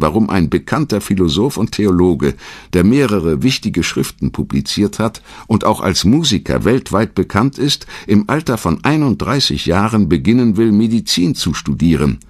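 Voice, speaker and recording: A man reading a scripted documentary narration in a measured, dramatic storytelling manner. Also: low voice, dry recording, studio quality.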